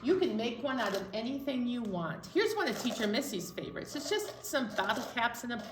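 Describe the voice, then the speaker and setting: A woman's voice: on the high side, big pitch swings, fairly quick. A woman hosting a storytime for young children, speaking to them directly in a sing-song way. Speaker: library storytime host. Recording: echoey room, slightly distant mic, faint noise.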